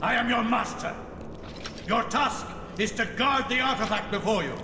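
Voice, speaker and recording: Very high, echoing dark master. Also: male voice, moderate pace. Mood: cold command. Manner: commanding, imperious. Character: imperious dark master creature commanding a guardian beast. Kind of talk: video game cutscene acting. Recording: distant, cavernous echo with loud noise.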